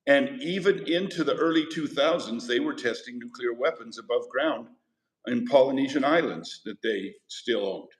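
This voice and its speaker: A man teaching history to a class, talking in an easy, conversational way and stopping to quiz his students. His voice sits at a middle pitch. The classroom gives it a clear echo. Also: moderate pace. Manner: conversational, asks the class questions. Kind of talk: classroom lecture. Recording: echoey classroom.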